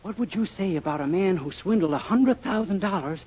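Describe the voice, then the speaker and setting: High, quick, boyish radio wisecracker. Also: male voice, animated. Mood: sly, innocent. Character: naive, wisecracking young male shop employee. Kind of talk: 1940s radio comedy acting. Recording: noisy, dull, crackly 1940s radio recording.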